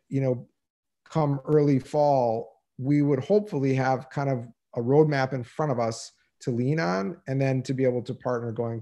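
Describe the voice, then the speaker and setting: A man's voice: mid-range voice, darkish tone. A man, a school administrator explaining plans carefully in a formal meeting, with frequent fillers, speaking from home on a video call. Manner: measured, hedging with many fillers. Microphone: laptop mic on a video call.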